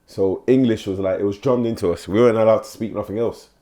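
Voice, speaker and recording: A young man from Nigeria hosts a relaxed podcast conversation in a fairly low voice. His tone is dark and mellow. He stumbles and repeats words when he starts a sentence. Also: quick pace. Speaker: podcast host. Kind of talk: casual chat.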